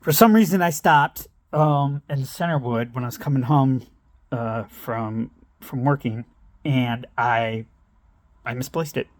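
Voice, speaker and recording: A man's voice: mid-range voice, unhurried, no echo. A middle-aged man telling a meandering personal story, interrupting himself and laughing at his own tangents. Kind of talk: rambling personal anecdote.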